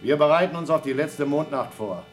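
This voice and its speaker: A man's voice: on the high side, a little fast, slight background noise, natural voice. A man playing a dry, dutiful moon astronaut. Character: male astronaut on a moon station, rule-minded. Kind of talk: radio play acting.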